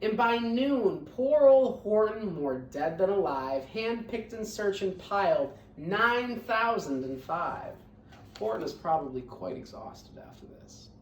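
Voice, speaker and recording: Woman, expressive storybook narration, lively pitch, roomy echo, slightly distant microphone. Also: takes it slowly, a little hiss or hum. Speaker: read-aloud storyteller, teacher.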